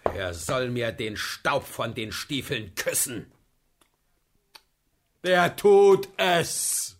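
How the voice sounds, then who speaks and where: An actor playing a choleric, tyrannical old Prussian king in a radio play, with imperious, barking commands and a husky, creaky voice. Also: mid-range voice, very animated, drawn-out words, slight background noise, exaggerated voice. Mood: raging.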